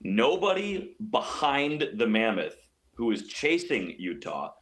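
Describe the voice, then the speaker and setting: A man's voice: medium pitch, big pitch swings, takes it slowly, a bit bright. A man giving sports analysis as an interview guest, explaining his points emphatically and often repeating phrases. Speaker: radio play-by-play announcer as guest.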